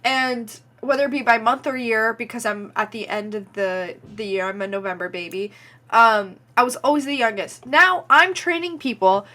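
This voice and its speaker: A woman's voice: fairly high pitch, moderate pace, sharp tone. A nineteen-year-old woman from Canada with a Canadian accent, rambling casually to camera in her vlog and filling her talk with "like" and "um". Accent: Canadian English. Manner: chatty, frequent "like" and "um" fillers. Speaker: vlogger and podcast host.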